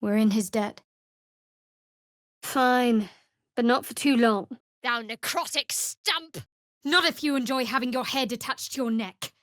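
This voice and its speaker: A guarded young priestess of a dark goddess, speaks in a video game with a wry, clipped edge. Her voice sits a little on the high side for a woman. The sound is close and dry, a clean studio take with no room on it, and her sardonic bite stays controlled. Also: a little slow, pauses often, dry recording. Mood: angry, cutting.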